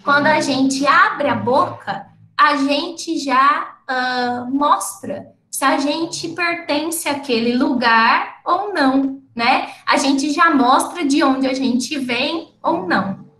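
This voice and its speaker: Woman, lecturer, high voice, explanatory, frequent tag questions, echoey room. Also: slow, bright, forceful tone. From not from the city of the event. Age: adult with completed schooling. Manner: explanatory, often ends points with tag questions. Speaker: lecturer and researcher. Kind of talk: academic lecture to teachers.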